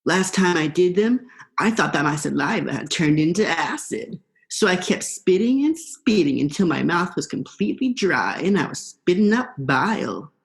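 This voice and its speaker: A woman's voice: lowish voice, sing-song, moderate pace, vocal fry. A young woman in a student radio play, playing a laid-back, vengeful male eel with a casual, slangy drawl. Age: young adult.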